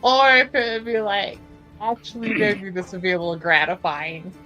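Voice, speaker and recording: A woman's voice: mid-range voice, steady tone, normal speed, sharp tone, muffled recording, faint music behind. A woman talking casually as a player in a tabletop roleplaying game.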